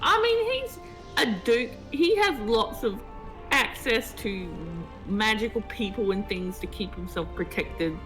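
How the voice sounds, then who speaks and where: A woman's voice: on the high side, expressive, a little slow, a bit bright. A woman chatting casually and jokingly as a co-op gaming partner on a stream. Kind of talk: casual joking chat about a game. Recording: music clearly behind the voice. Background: music.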